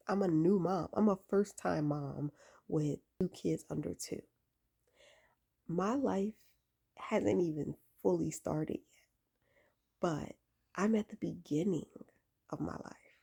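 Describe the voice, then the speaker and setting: A woman's voice: lowish voice, vocal fry, warm tone, husky. A woman in her late twenties talking casually and emphatically to camera, giving advice and repeating key phrases for weight. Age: late 20s. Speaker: vlogger giving faith-based lifestyle advice. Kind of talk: casual talk to camera, exhorting.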